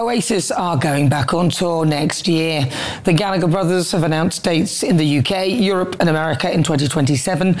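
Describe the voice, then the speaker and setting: Woman hosting a late news chat show, voice a bit on the low side. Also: darkish tone. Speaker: television news show presenter. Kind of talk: live studio presenting and discussion.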